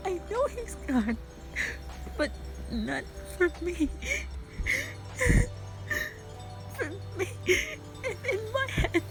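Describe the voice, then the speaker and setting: A woman voicing a traumatized, despairing young woman in an audio roleplay drama, halting and anguished in her delivery. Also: very high-pitched, voice pushed up, full character voice. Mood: anguished, breaking down.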